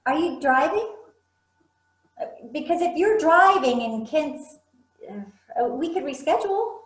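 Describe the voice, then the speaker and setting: An actress plays a chipper, flustered woman in her forties who stammers while hosting a meeting. Her voice is high and slow, recorded in an echoey room. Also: animated. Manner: hesitant, stammering. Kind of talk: staged play reading over video call.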